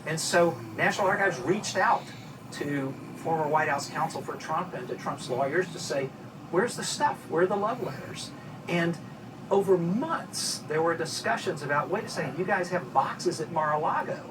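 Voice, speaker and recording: A man commenting as an expert guest on a news show, telling events like an animated storyteller. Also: a little high, moderate pace. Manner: animated storytelling, acts out quotes. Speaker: expert guest from an archive research group. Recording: noisy, distant-sounding audio.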